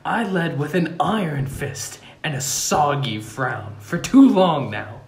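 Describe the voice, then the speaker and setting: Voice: medium pitch, big pitch swings, slow, echoey room. An amateur reader playing a melodramatic, earnest king in a comedy script table read, declaiming theatrically in a room at home. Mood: grand, proclaiming. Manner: theatrical, swooping declamation broken by amusement. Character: melodramatic young king turned cheerful, earnest ruler.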